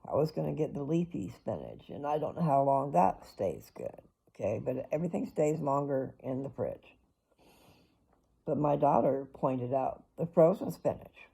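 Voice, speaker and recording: Gravelly, husky older woman vlogging. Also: extremely low pitch, very animated, moderate pace, mellow, low-quality recording. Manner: rambling, chatty, talks straight to viewers. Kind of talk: casual personal vlog.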